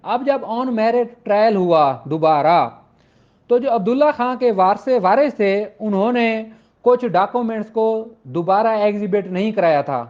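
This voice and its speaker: Male law teacher, notably high voice, informal and insistent explainer who restates each point, dull low-fidelity sound. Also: normal speed. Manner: emphatic, repeats points for clarity. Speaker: law lecturer. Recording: dull, lo-fi audio.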